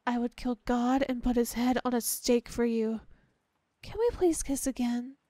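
A woman's voice: on the high side, flat delivery, normal speed, warm tone, no room sound, studio quality, close to an everyday voice. A woman playing a clingy, insecure, devoted young yandere girlfriend in an ASMR roleplay, hesitant and gushing. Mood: happy, adoring. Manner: gushing, hesitant, breaking off and restarting.

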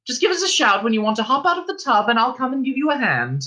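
A man's voice: extremely high pitch, wildly swooping pitch, fast, bright, forceful tone, pitched above the performer's own voice, heavily acted. A man voicing a scheming, motherly innkeeper's wife who coos and coaxes with false sweetness. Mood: cooing, falsely sweet. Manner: coaxing, wheedling, sweetly sinister.

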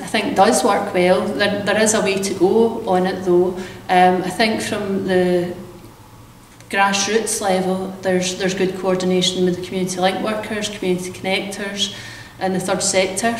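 A middle-aged woman talks earnestly about her work, her voice held in a narrow, even pitch. She is heard from some distance through the camera's mic. The room adds a noticeable echo and a faint background hum. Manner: earnest, measured, with frequent restarts and fillers. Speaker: carers centre manager. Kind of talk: vox pop interview. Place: hotel room by a window, indoors.

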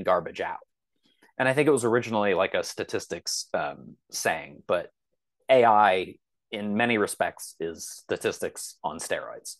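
A man with a fairly low voice chats as an interview guest. He explains things discursively, wandering into examples and asides. The sound is dry and close, with little room to it. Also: dry recording. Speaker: podcast guest, science fiction author. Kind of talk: casual podcast interview talk, explaining.

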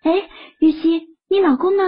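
A woman's voice: extremely high pitch, steady tone, normal speed. A woman voicing a frank, teasing young woman in an audio drama, with a blunt way of talking. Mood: eager. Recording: dull lo-fi audio.

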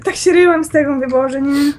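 High-pitched woman laughing her way through a co-op game, whiny and playful. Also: brisk, darkish tone, slight background noise. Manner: giggly, laughs often, playfully complaining. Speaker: co-player. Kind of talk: casual let's-play chat.

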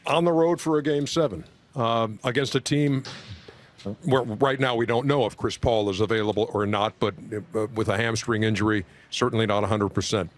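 A middle-aged man hosting a sports studio show, steering the talk in a measured way. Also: lowish voice, fairly even intonation, slight background noise. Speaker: studio host. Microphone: handheld mic.

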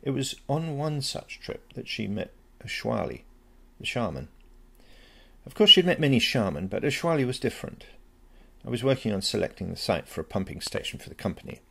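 A man's voice: fairly low pitch, vocal fry, sharp tone, breathy edge. A man reading a first-person story as scripted narration. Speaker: story reader.

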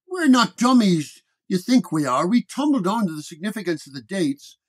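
Man narrator as gruff older police sergeant, emphatic, higher voice, detective horror storybook. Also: sing-song, moderate pace. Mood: annoyed, brusque. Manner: brusque, emphatic.

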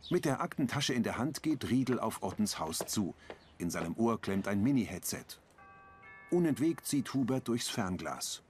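Calm male audio-description narrator. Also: lowish voice. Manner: calm, matter-of-fact.

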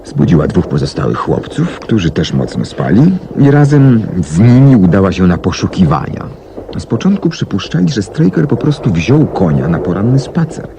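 A methodical detective with a low voice and lively, swinging intonation. He lays things out deliberately and with assurance, as in a 1970s radio play. The old recording is noticeably noisy. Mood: grave, deliberate. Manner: measured, deliberate storytelling with emphatic swings.

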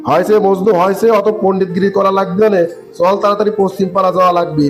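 High-pitched, smug corrupt official acted by a man. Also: little pitch movement, fast, soft background music, close to an everyday voice. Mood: bossy, urgent. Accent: northern Bangladeshi. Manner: patronising, smugly explanatory. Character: corrupt, bossy male government river-dredging official. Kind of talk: comic cartoon dialogue.

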